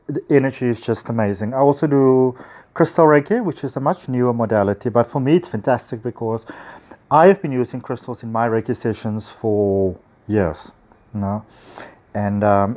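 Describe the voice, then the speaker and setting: A man's voice: medium pitch, darkish tone. A man with a South African accent talks casually and effusively to viewers in an enthusiastic, chatty vlog, recorded on a handheld phone. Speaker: spiritual healer and teacher.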